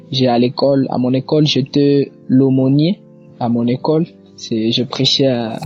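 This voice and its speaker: A young man with an eastern Congolese French accent gives a personal religious testimony, haltingly, repeating words as he goes. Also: medium pitch, a little mellow. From eastern Democratic Republic of the Congo. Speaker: church member giving testimony. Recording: muffled lo-fi audio under faint music. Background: faint music.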